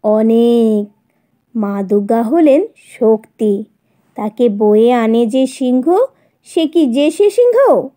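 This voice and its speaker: A woman voicing a calm, teasing, affectionate old grandmother, matter-of-fact and unhurried, in a children's audio story. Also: on the high side, pitched below the performer's own voice.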